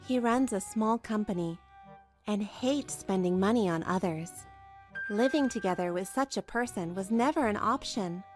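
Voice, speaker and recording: A woman's voice: mid-range voice, normal speed, lightly acted. A woman playing an anxious, earnest young wife who narrates her own troubles in a dramatised manga story. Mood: weary, aggrieved. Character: put-upon young wife, anxious, earnest, long-suffering. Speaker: narrator and lead of a manga dub. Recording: music behind the voice.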